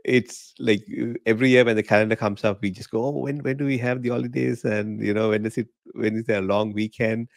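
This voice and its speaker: A middle-aged man from Sri Lanka, a guest on a podcast video call, talking in a relaxed, chatty way with frequent laughs. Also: fairly low pitch, brisk, gravelly, few pauses, no echo. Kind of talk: casual interview chat. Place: home living room. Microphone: podcast mic in frame, video call.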